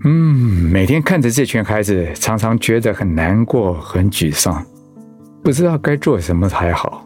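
An actor with a Taiwanese accent playing a gentle, ailing pastor and husband in a radio drama, earnest and reflective. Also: male voice, on the low side, sing-song, normal speed, faint music behind. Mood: earnest, youthful. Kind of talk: Mandarin radio drama acting.

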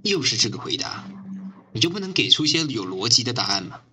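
A man plays a stern riot-squad captain in a radio drama. The voice is very sharp and forceful, coldly logical and curt, over faint noise. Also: medium pitch, sing-song, fast talker. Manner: curt, commanding. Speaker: actor in amateur radio drama. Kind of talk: amateur radio drama acting.

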